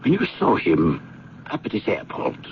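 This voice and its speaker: An actor playing a brisk, worried British police inspector in a radio drama, questioning in a clipped, probing way. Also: male voice, lowish voice, wildly swooping pitch. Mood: pressing, worried. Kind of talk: old-time radio drama acting. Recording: muffled, noisy radio drama recording.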